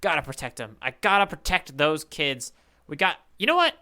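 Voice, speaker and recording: Male podcast co-host: bright, crisp delivery, casual and comedic, playfully mock-grand. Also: a little high, very animated, moderate pace.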